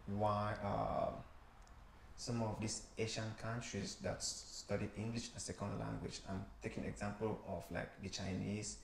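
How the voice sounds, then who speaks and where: A man's voice: fairly low pitch, gravelly, echoey room, a little hiss or hum, poor audio. A man with a Cameroonian accent hosting a studio interview, asking probing questions. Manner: questioning, leading the talk. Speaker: show host. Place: small studio living-room set, indoors.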